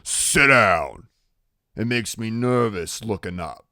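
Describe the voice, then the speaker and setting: A man's voice: on the low side, expressive, takes it slowly, sharp tone, smooth, dry recording, clean studio sound, full character voice. A man voicing a big, tattooed biker gang boss in an audiobook, curt, mocking and commanding. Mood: menacing invitation.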